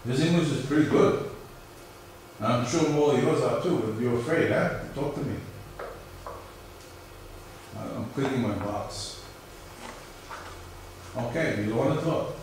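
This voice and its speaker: A man's voice: mid-range voice, unhurried, long pauses, a little mellow. Echoey lecturing man with New York accent. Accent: New York area American. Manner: conversational, questions the class and answers himself. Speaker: professor. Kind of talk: university lecture. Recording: very echoey room, mic far off, faint hiss.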